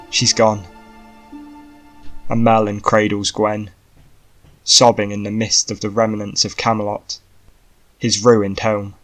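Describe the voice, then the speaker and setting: A man narrating a scripted fantasy audiobook in a dramatic storytelling style. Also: lowish voice.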